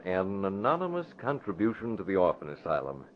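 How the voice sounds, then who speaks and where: An actor playing an urbane, assured newspaper publisher with secrets, in 1940s radio style. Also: male voice, low-pitched, huge pitch swings, a little fast. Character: suave, secretive newspaper publisher, smoothly in command. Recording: dull vintage radio broadcast, faint noise.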